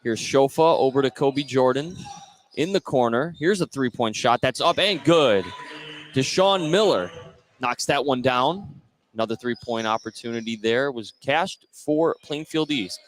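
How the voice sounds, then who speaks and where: A man's voice: mid-range voice, expressive, unhurried. A man doing live basketball radio play-by-play, narrating each play in short phrases. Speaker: play-by-play commentator.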